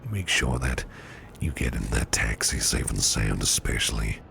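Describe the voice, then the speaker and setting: A man playing a caring, courteous businessman boss in an ASMR roleplay, speaking in a soft, soothing, half-whispered murmur. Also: a bass voice, animated, moderate pace, vocal fry, a little hiss or hum, heavily acted. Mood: tender, soothing. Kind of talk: ASMR personal attention roleplay.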